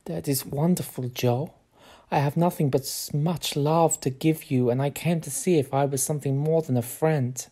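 An actor playing an earnest, humble immigrant professor in love, speaking formally and tenderly in foreign phrasing for an audio drama. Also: male voice, fairly high pitch, fairly even intonation, normal speed, dark tone.

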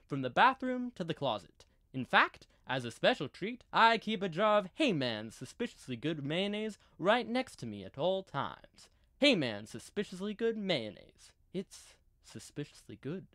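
A young man works as an old-time radio announcer with a theatrical, salesmanlike delivery. His voice is fairly high and swings widely in pitch, with a dry, close sound. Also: a bit bright, no echo. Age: young adult.

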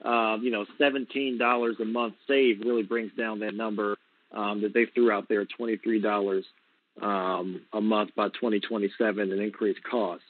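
A man's voice: fairly low pitch, little pitch movement, moderate pace. A man giving an unscripted, conversational explanation as an advocate on a conference call over a telephone. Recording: tinny, narrowband phone line.

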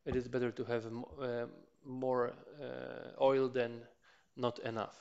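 A man whose first language is Polish talks slowly through a repair job, stopping often with fillers and restarting his sentences. His voice sits at a middle pitch. The lapel-mic sound is a bit dull and lo-fi, from a cluttered home workshop. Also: little pitch movement, vocal fry, darkish tone, clean tone. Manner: slow and hesitant, many filler sounds and restarts. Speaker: motorcycle mechanic channel host. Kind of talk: hands-on repair tutorial.

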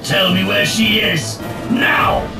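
An actor voicing an angry, obsessive warrior in a video game, speaking in a halting, imperious, theatrical way. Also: male voice, sits high, little pitch movement, echoey room, music in the background. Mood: menacing. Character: angry, obsessive male warrior loyal to his commander. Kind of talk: dramatic video game voice acting.

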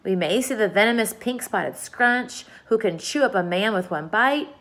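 A woman's voice: mid-range voice, normal speed, pitched above the performer's own voice, natural voice. Woman doing a boastful grinning centipede, singsong, bouncy rhymes, storybook. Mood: gleeful. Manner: lively, singsong rhyming delivery.

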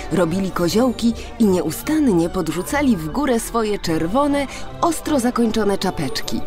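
A woman narrating a children's fairy tale in an expressive, dramatic storytelling manner. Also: mid-range voice. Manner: animated, dramatic storytelling delivery. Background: background music.

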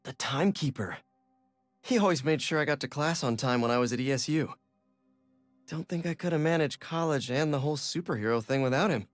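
An actor playing an earnest, self-deprecating young superhero in a video game, casual and wry. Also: male voice, on the high side, brisk, no room sound, soft background music, natural voice. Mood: sincere. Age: young adult.

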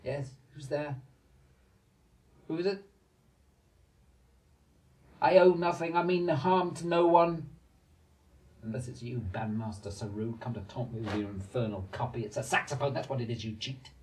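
An actor playing a boastful, eccentric old inventor who rambles and brags in a radio drama. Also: male voice, mid-range voice, a little slow, pauses often, background noise, lightly acted. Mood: suspicious, defiant. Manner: animated, bragging, rambling.

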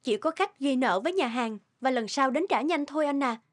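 High-voiced, chiding young wife. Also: female voice, animated, fairly quick. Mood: advising. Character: businessman's practical, mildly chiding young wife. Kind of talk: cartoon dubbing.

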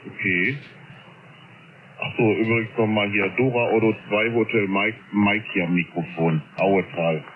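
A man's voice: lowish voice, steady tone, measured pace. A man speaking casually as an amateur radio operator over a shortwave transmission. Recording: narrow phone-like shortwave radio, clear static.

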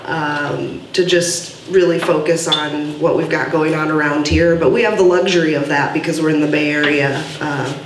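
A woman's voice: low voice, steady tone, moderate pace, few pauses. Woman moderator at a podium, earnest and hesitant, heard from a distance with slight hiss. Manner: earnest, filler-heavy. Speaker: panel moderator. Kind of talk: panel discussion, taking audience questions. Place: gallery event room, indoors. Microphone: podium mic from a far camera. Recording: roomy, distant, faint hiss.

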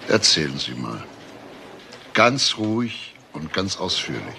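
An actor playing a calm, probing police detective in a crime radio play, matter-of-fact and insistent. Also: male voice, a bass voice, slow, gravelly, background noise, full character voice. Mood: businesslike. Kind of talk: German radio crime drama acting.

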